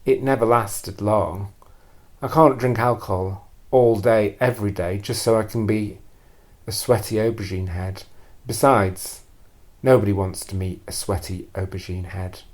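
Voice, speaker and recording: A man telling a first-person confessional story in a deadpan, deliberate and hesitant way, repeating phrases for emphasis. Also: on the low side. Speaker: storyteller performing a personal monologue.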